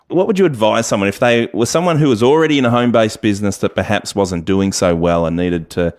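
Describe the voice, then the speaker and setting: A man's voice: on the low side, quick pace. A man hosting a conversational interview and putting questions to his guests. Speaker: interview host.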